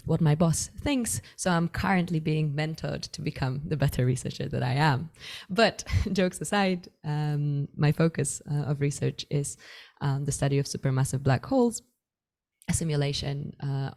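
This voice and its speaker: A young woman from Poland speaks English in a joking, self-deprecating manner. Her voice sits low and carries a gravelly fry. She is presenting a science talk over an online call. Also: steady tone, moderate pace, darkish tone. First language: Polish. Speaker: astrophysics speaker.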